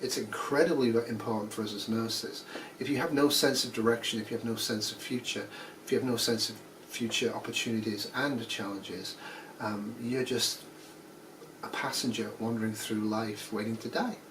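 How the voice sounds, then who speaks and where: A man's voice: fairly low pitch, fairly even intonation, moderate pace, far from the mic. Fifties male instructor, blunt and dry, sarcastic asides, a bit off-mic with faint noise behind. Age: 50s. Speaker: nursing instructor. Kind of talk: recorded course announcement.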